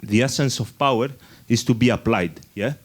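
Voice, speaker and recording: A man speaking in a group discussion, halting and restarting as he builds his point. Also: mid-range voice. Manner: halting, many restarts and fillers. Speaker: festival workshop participant. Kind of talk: spontaneous discussion remarks.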